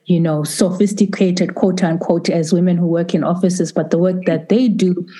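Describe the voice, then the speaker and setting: A woman's voice: fairly low pitch, steady tone, normal speed, mellow. A woman hosting a conversational interview podcast, rephrasing herself as she goes in an encouraging way.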